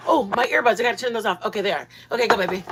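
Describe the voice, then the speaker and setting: A woman hosting a casual live cooking stream from her home kitchen, excitable, rambling and quick to giggle. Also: fairly high pitch, noisy background.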